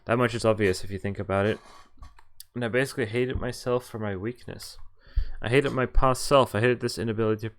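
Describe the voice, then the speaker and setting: A man reading visual novel dialogue and narration aloud in a conversational way, sometimes stumbling and correcting himself. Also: fairly low pitch. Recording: game music behind the voice. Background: visual novel music.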